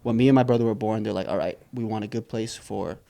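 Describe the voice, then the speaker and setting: Relaxed gravelly young man. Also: fairly low pitch, fast. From Saipan. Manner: relaxed, rambling, many fillers and restarts. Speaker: entrepreneur guest. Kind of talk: casual interview storytelling.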